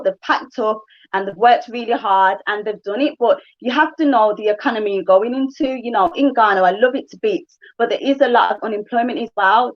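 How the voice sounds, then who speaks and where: Chatty woman on a muffled call. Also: fairly high pitch. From England. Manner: chatty, frequent fillers and asides. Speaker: guest. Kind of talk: casual livestream interview.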